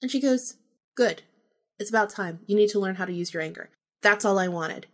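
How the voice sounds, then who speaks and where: A woman's voice: medium pitch, a little fast, a bit bright. A woman podcast host telling a personal story casually, informally acting out remembered bits of dialogue.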